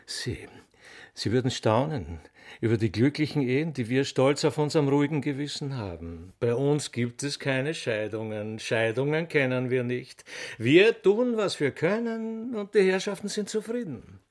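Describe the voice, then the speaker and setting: A man's voice: medium pitch, expressive, normal speed, close to an everyday voice. An actor playing a fawning furniture salesman in a radio play, with an Austrian accent, an unctuous buttery voice and gushing, insinuating delivery. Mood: gushing persuasion. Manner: gushing, servile, insinuating, with lingering emphasis. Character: smarmy, flattering male furniture salesman wooing a customer.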